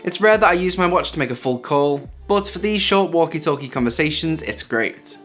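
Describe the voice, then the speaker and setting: A man's voice: fairly high pitch, lively intonation, a little fast, a bit bright. A man hosting a tech video, narrating a scripted hands-on review. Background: background music.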